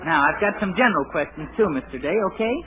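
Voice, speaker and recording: A man's voice: a little high, animated, fast talker, narrow, tinny audio, lightly acted. A man playing a polite but drily exasperated schoolboy reporter in a 1940s radio comedy. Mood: dry, exasperated. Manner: polite, dry, wry. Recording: noisy band-limited 1940s radio recording.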